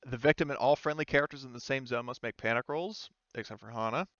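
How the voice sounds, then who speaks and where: A man with a clear, mid-pitched voice runs a tabletop roleplaying game. He is casual and halting, reasoning through rules as he goes. The sound is very dry and a little lo-fi. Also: a bit bright, no echo. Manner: halting, thinking aloud while working out rules. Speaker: game master.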